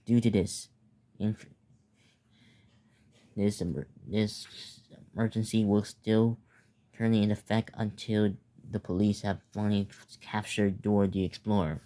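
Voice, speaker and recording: Halting young man, low monotone. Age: teenager or young adult. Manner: halting, stumbling, repeats words. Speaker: narrator. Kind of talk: improvised mock emergency announcement.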